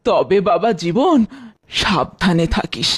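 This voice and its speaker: Man voicing a slow, high-pitched anxious parent. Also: sing-song, slightly rough, heavily acted. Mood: tender, anxious. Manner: careful, cautioning. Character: tender, anxious parent of five sons.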